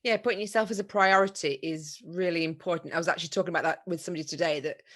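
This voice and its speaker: A woman hosting a podcast, supportive and quick to agree. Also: lowish voice, fairly even intonation, fairly bright tone. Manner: supportive, lots of short agreements. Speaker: podcast host. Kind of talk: casual interview chat.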